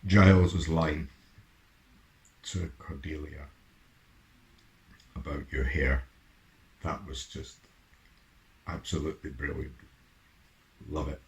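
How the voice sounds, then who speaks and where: A man with a gravelly voice giving a casual, halting, musing reaction and review as host. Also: rumbling low, steady tone, rough recording.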